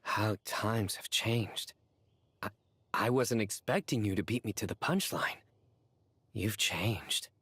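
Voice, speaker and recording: A man voicing an earnest, theatrical joker in a video game, speaking in a measured, formal and self-satisfied way. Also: on the low side, fairly bright tone, husky, full character voice. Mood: wry.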